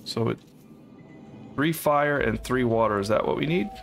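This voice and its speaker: A man with a gravelly, middle-pitched voice talks through a co-op game session. He hesitates and thinks aloud as he works out puzzles. Game music plays clearly behind him. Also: normal speed. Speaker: co-op game let's player. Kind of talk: casual gameplay commentary.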